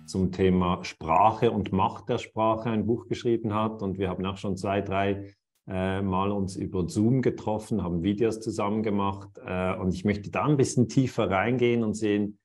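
Moderator, Mann mit Schweizer Akzent, tiefe Lage, stockend und bedächtig im Interview. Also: male voice, lowish voice, fairly even intonation, moderate pace, a little mellow, soft background music. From Switzerland. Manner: hesitant, many fillers and restarts. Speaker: host. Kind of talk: interview over video call.

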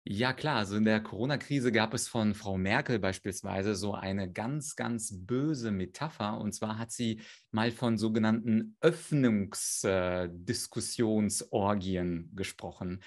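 Flüssig erklärender Rhetorikexperte, tiefe Stimme. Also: male voice, on the low side, unhurried, hardly any pauses. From Ukraine. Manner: fluent, explanatory. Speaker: rhetoric trainer, guest. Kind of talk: expert interview.

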